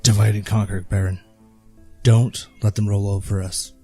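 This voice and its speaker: Man playing a dark-voiced, grim swordsman. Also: lowish voice, level tone, normal speed, breathy edge, soft background music. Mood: grim, commanding. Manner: commanding, dismissive. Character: grim, confident swordsman bodyguard, cold and ruthless. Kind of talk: audio drama acting.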